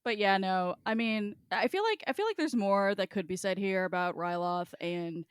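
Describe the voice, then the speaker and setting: A woman with a mid-pitched voice chats as a podcast co-host. Her delivery is informal and meandering, with some swearing. The recording is dry and close. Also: lively intonation, moderate pace, smooth, dry recording. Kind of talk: casual chat among co-hosts.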